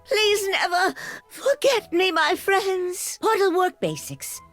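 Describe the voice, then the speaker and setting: High, lilting, kindly little grandmother. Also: animated, takes it slowly, soft background music, exaggerated voice. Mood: wistful, whimsical. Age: older. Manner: gentle, doting, hospitable, quaint. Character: kindly, forgetful, whimsical grandmotherly yordle lady who loves tea. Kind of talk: video game character voice lines.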